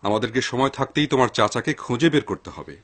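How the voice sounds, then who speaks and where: Cautious pious dad for cartoon dub, male actor: raspy, mid-pitched, expressive, grave. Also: fast. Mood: worried, firm. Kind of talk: dubbed cartoon acting.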